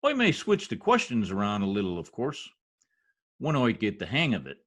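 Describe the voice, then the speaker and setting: Man acting a blustering, husky radio-comedy husband. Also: fairly low pitch, wildly swooping pitch, normal speed, fairly bright tone, exaggerated voice. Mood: grumbling eagerness. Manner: folksy, slangy, blustering. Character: blustering, wisecracking, self-important husband, eager census taker. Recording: home video-call recording.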